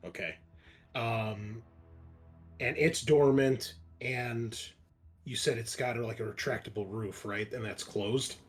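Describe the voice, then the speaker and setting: A man's voice: medium pitch, takes it slowly, slightly rough, faint music behind. A man taking part as a player in a tabletop roleplaying game, chatting casually through his character's moves.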